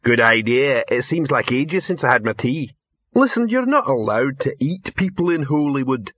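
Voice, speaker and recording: A man voicing big, slow-witted male trolls who talk in broken grammar. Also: mid-range voice, big pitch swings, a bit bright, husky, dry recording, lo-fi sound. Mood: scheming.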